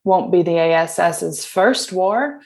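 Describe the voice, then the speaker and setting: A woman's voice: on the low side, animated, slow, darkish tone. A woman acting a teasing, guarded space officer with a dry manner in an audio drama.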